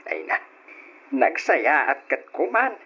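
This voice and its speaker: A man's voice: a little high, sing-song, slow pace, a little hiss or hum, full character voice. An actor playing a middle-aged father chatting casually with his wife in a radio drama, on a lo-fi old recording. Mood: chatty.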